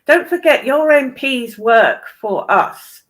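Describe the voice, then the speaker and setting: A woman's voice: sits high, expressive, takes it slowly. A woman chatting casually as a guest on a live stream. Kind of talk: casual chat.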